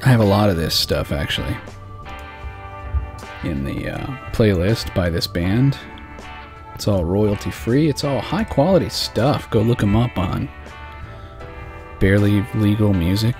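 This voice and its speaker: A man's voice: on the low side, lively intonation, unhurried, long pauses. A man hosting a loose, rambling late-night show, swearing casually as he chats and reads a novel aloud. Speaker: podcast host. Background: faint background music.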